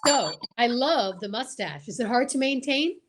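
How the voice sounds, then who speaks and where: An excitable, effusive woman bantering casually as co-host of a live online comedy show. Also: a little high, moderate pace, noisy background.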